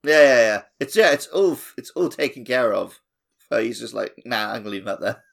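A man's voice: medium pitch, brisk, creaky, a bit bright. A man co-hosting a podcast, chatting casually and jokingly about a video game. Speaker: podcast co-host. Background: music.

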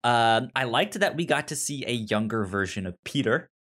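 Halting, stammering man in casual chat. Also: medium pitch, lively intonation, fairly bright tone. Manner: halting, stammers and repeats word starts. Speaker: podcast host. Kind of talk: casual chat discussing a TV series.

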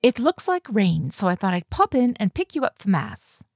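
A woman's voice: mid-range voice, wildly swooping pitch, moderate pace, no echo, studio quality. A woman voicing a brash, foul-mouthed London woman in her thirties, breezy and blunt, for an audiobook.

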